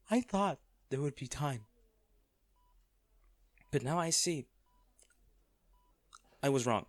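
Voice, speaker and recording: Slow-spoken, heartbroken man pleading, acted by a man. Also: medium pitch, no room sound. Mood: pleading, tender. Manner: halting, intimate, earnest pleading. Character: ruthless man pleading tearfully at comatose lover's bedside. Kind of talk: romantic audio roleplay monologue.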